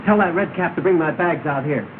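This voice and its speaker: An actor playing a polite, eager, well-off young gentleman in a 1930s film. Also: on the high side, a little fast, phone-quality sound, lightly acted. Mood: brisk. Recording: thin, distant 1930 film soundtrack, noisy.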